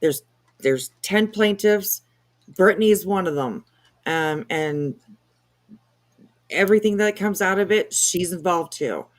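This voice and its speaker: Halting, casual woman chatting on a livestream. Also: fairly low pitch, animated. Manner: halting, rambling explanations. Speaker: livestream co-host. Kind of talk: casual commentary chat.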